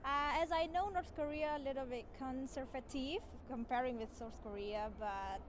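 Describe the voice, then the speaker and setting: A woman's voice: high voice, moderate pace. A young adult woman with an Indonesian accent, answering informally and tentatively in simple English. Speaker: exchange student interviewee. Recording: clear ambient background noise.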